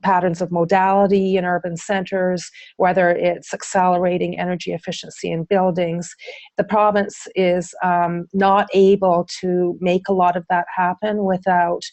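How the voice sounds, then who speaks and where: Woman, webinar presenter, low voice, flat intonation, hesitant with fillers. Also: normal speed, no room sound. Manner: hesitant, many ums and uhs, restarts. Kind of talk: answering audience questions in a webinar.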